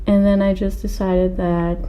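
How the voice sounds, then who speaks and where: Woman, 20s, video creator. Very soft-edged, dark tone, informal rambling Q&A delivery, a little hesitant. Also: mid-range voice, flat delivery, measured pace. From Austria and Greece. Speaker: video creator answering viewer questions. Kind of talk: casual chat to camera.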